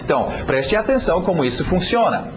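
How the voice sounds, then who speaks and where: Animated American man teaching a lesson. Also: sits high, fast talker, noisy background. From United States. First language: English. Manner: animated, stretches out words and chants rhythm syllables to demonstrate. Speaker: English teacher. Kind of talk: video lesson.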